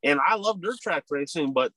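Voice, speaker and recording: A man's voice: fairly high pitch, lively intonation, moderate pace, crowd noise, low-quality recording. A man hosting a casual racing podcast, talking in a chatty, upbeat, rambling way, sounding nasal from a head cold. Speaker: podcast host.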